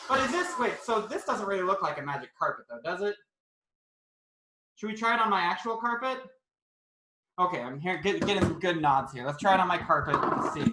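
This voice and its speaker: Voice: mid-range voice, lively intonation, fairly quick, background noise. An informal, playful science presenter chatting to children during a live online demonstration, recorded in a roomy indoor space. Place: indoor room, live online video call. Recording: roomy echo.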